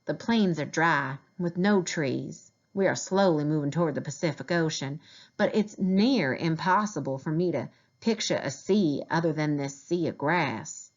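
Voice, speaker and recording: A woman with a fairly low voice narrates a children's historical diary aloud, in the style of a teacher reading to pupils. The recording sounds a little dull and muffled. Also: unhurried.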